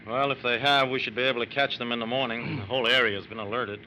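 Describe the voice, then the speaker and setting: An actor playing a steady, methodical FBI agent in an old radio crime drama, measured, polite but firm. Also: male voice, medium pitch. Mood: calm, alert. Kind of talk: 1940s-50s radio crime drama acting. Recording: noisy vintage radio drama recording.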